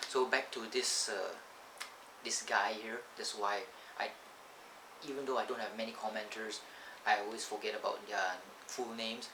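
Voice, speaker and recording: A Malaysian man at a middling pitch talks loosely to his camera, wandering off topic and stopping to fix his own words. The camcorder's built-in mic thins out his voice and strips away its bass. A clear hum of background noise runs under the whole recording. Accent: Malaysian English. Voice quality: thin sound, lacking bass. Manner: rambling, digressive, self-correcting. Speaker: vlogger. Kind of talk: casual rambling vlog talk.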